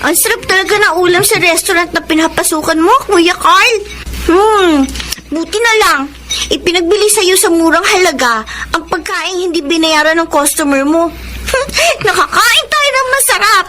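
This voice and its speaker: A woman's voice: very high voice, normal speed, pushed and bright, slight background noise. A woman playing a cheerful, considerate young student and devoted little sister in a radio drama, sweet and girlish. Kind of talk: Filipino radio drama acting.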